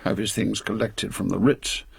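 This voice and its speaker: An actor playing an authoritative older British spy chief, brisk and ruthless, with clipped, formal delivery in a radio drama. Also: male voice, on the low side, level tone, vocal fry, husky, no room sound, heavily acted. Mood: brisk, commanding.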